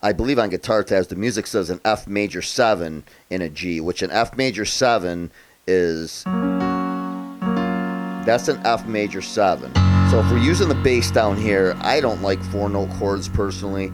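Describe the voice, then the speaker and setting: A man from upstate New York giving a relaxed, chatty piano lesson, thinking out loud as he plays by ear. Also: lowish voice, normal speed. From Rochester area, New York, USA. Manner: relaxed and chatty, thinks aloud while playing. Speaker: piano tutor. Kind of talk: casual play-by-ear piano tutorial. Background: loud piano playing.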